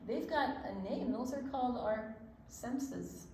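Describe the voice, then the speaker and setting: An older woman co-hosting a children's storytime, explaining and reading aloud deliberately, with emphasis on single words. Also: high-pitched, warm tone, a little hiss or hum. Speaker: children's storytime co-host and reader.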